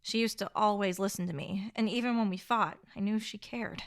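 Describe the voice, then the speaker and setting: A woman's voice: medium pitch, a little fast, gravelly, natural voice. A woman playing an earnest, principled, argumentative survivor in a radio play. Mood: subdued, confiding. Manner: earnest, pointed.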